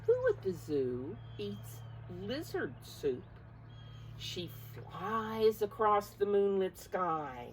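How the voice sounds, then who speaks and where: A woman preschool teacher reading a picture book aloud to young children, expressive and coaxing, often putting questions straight to her listeners. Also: mid-range voice, very slow, slight background noise, rough recording.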